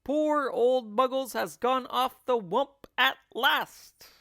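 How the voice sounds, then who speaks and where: A man voicing small, excitable monkeys and birds in a children's storybook, doubtful, cheeky and questioning. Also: very high-pitched, takes it slowly, no echo, clean studio sound, pitched above the performer's own voice, exaggerated voice. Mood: cheeky, mocking. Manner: questioning, exclamatory. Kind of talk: children's storybook character reading.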